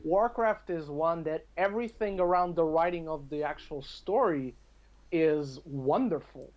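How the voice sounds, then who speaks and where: A man with a somewhat high-pitched voice gives his opinions as a podcast guest. He is conversational and emphatic. The audio is a little dull and lo-fi. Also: measured pace. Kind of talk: casual, opinionated film discussion.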